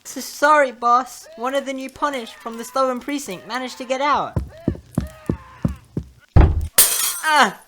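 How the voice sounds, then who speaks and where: A woman's voice: high voice, takes it slowly, long pauses. A woman playing a nervous, apologetic demon underling in a comedic audio drama, stammering as she speaks to her boss. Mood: flustered, apologetic. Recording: loud noise and clicks.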